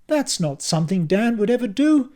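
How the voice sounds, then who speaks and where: A placating middle-aged father, pitched up and pleading as he tries to settle a family row, played by a male narrator. His pitch moves in a lively way in a storybook reading. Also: moderate pace.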